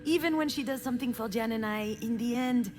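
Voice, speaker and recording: A woman's voice: a little high, fairly quick, a little mellow, a little hiss or hum, lightly acted. An actress playing a frustrated, self-deprecating woman who narrates her family wounds in a confiding, bitter game monologue. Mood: bitter, resentful. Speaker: visual novel protagonist. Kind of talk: dramatic first-person game narration.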